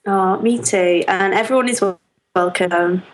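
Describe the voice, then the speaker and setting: A woman from Lancashire speaks with a Lancashire accent as a podcast guest. She talks bluntly and directly, with frequent laughs. Her voice sits at an ordinary middle pitch. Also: unhurried, poor audio. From Lancashire, England. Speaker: knitting podcast host, guest. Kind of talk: casual interview chat.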